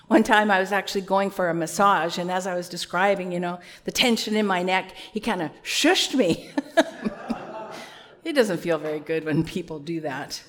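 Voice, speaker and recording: A woman with a loud voice preaching an earnest, conversational sermon with a few personal asides. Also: on the low side, normal speed.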